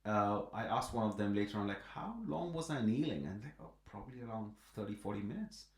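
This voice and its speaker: A man from Pakistan talks as a podcast guest, telling personal stories in a conversational way. His voice is on the low side. The recording has the clear echo of a front room. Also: expressive, a little fast. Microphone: improvised iPhone setup.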